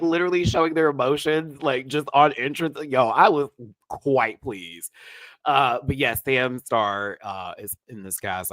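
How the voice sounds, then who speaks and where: A man giving an animated, sassy live review of a drag competition show, with big swings in pitch. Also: no room sound.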